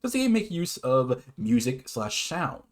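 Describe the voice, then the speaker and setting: A man with a mid-pitched voice presents an informal online explainer. He moves between reading prepared lines and talking off the cuff. His delivery is halting, with stumbles and self-corrections, and he tends to chuckle between phrases. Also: sing-song. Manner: hesitant, stumbles over words and self-corrects. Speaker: YouTube host presenting his own definitions. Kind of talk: informal explainer, half read, half ad-libbed.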